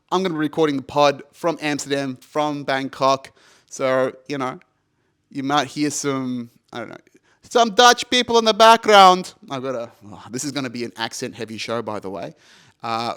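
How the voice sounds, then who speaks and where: A man's voice: medium pitch, a bit bright. Rambling, foul-mouthed man in his thirties. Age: 30s. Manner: rambling, swears freely, self-mocking. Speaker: podcast host. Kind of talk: casual solo podcast monologue.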